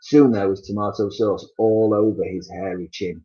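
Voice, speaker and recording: A man reading a children's story aloud as an expressive narrator, on a slightly muffled recording. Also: lowish voice, moderate pace.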